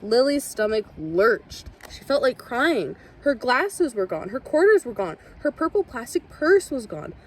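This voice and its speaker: A woman reading a children's picture book aloud with expressive storytelling. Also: a little high, measured pace, hardly any pauses. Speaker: storybook reader.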